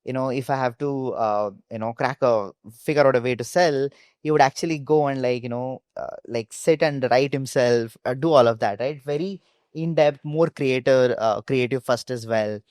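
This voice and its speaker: An Indian-accented man speaks as a founder in a casual interview. He is mid-pitched and halting, leaning on filler phrases, with a dry, roomless sound. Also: a little mellow, clear, resonant tone, no room sound. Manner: halting, peppered with "like" and "you know". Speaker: startup founder being interviewed.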